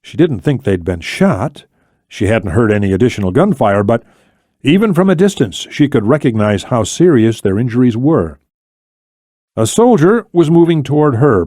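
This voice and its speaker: A man's voice: lowish voice, animated, normal speed, dark tone, dry recording. Man narrating a thriller audiobook, dry sound. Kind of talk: scripted thriller novel narration.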